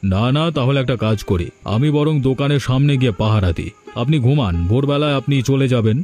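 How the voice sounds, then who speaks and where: A man's voice: medium pitch, brisk, a little mellow, lightly acted. A man voicing a mysterious, helpful stranger in a folk-tale cartoon, polite, earnest and reassuring.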